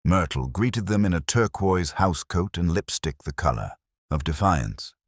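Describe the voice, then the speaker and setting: A man narrating a scripted mystery story in a measured, wry literary manner. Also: sits low, lively intonation, no echo, clean studio sound. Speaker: audiobook narrator.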